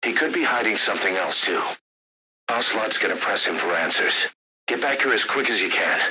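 Deep, grave military commander on radio. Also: male voice, expressive, brisk, pushed and bright, a little hiss or hum, poor audio. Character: gruff military second-in-command briefing his boss. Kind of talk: dramatic game cutscene radio briefing.

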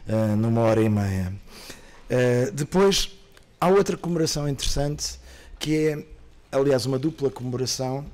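A middle-aged man speaks at a podium outdoors in a park. His voice sits at an ordinary pitch, and he talks in an animated way. He stops and restarts often, with plenty of fillers. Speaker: festival programmer. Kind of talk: outdoor press presentation. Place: outdoor park, under trees. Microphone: podium microphone.

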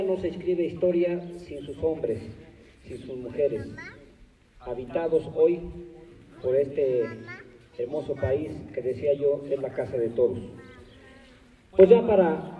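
A man's voice: a little high, a little slow. Man making a big outdoor speech, heard from far off, voice bouncing back off walls, kinda dull sound. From Mexico. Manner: oratorical, rising to emphatic declarations. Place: large open courtyard of a hacienda, outdoors. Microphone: far camera, no close mic. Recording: echoey distant outdoor phone video.

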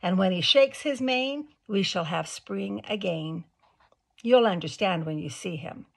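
A woman's voice: medium pitch, lively intonation, unhurried, vocal fry, husky, exaggerated voice. A woman voicing a male talking beaver, gloomy at times and hearty at others, with a folksy, homespun way of speaking.

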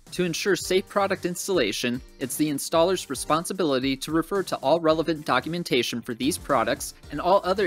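A man reading scripted instructional narration for a training video in a measured, clearly enunciated, formal way. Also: a little high, a bit bright. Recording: music bed under voice-over. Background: background music.

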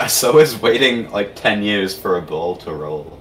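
Low-voiced young man gaming, off-mic. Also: lively intonation, measured pace, a bit bright. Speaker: gamer playing co-op on a shared controller. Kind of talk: casual gameplay chat. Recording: distant mic, clearly noisy recording.

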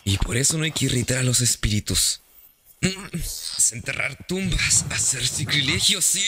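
A man playing a timid, reluctant young tomcat in a cartoon. He mutters anxiously at an ordinary male pitch, under heavy noise. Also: expressive, normal speed, close to an everyday voice. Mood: uneasy. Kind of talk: animated fan dub acting. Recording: very noisy fan dub audio.

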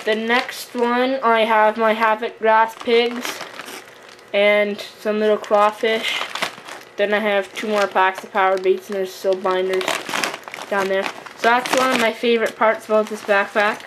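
Flat, halting young fishing vlogger, female. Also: medium pitch. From Canada. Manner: halting, casual. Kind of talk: casual product tour. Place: room at home with wooden floor. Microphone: camera microphone. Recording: noisy amateur camera recording, handling clicks.

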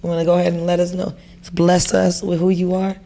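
A woman in her thirties with a raspy voice, co-hosting a casual podcast and telling animated, slangy stories on a close microphone. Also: lowish voice, fast, a little hiss or hum. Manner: animated slangy storytelling, acts out quotes. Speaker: podcast co-host. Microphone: close podcast microphone on boom arm.

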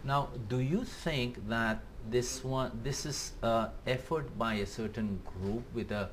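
A man's voice: medium pitch, takes it slowly, slight background noise. A man in his fifties with an Indian accent, hosting a conversational interview in a TV studio on a lapel mic. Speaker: talk show host. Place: small TV studio set.

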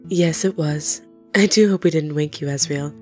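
A woman voicing a gentle, worried motherly goat monster in an animated comic dub, speaking formally and tenderly. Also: low-pitched, normal speed, faint music behind, natural voice. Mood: gentle, concerned.

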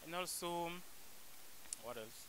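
A man's voice: on the high side, animated, slow, a bit bright, slight background noise, rough recording. Hesitant young man from Cameroon. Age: young adult. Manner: hesitant, repeats words while searching for them. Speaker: interviewee, foreign student. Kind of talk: casual street interview answers. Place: outdoors on a campus walkway. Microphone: handheld microphone held by interviewer.

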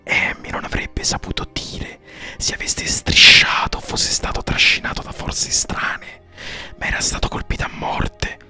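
A man narrating a horror story in a hushed, breathy, suspenseful and theatrical voice with measured pauses. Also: in a whisper, faint music behind. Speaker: audiobook narrator.

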